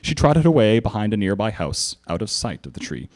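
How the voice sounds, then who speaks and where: Man, live story reader, theatrical narration, very animated pitch. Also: fairly quick. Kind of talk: dramatic reading of fan fiction to an audience.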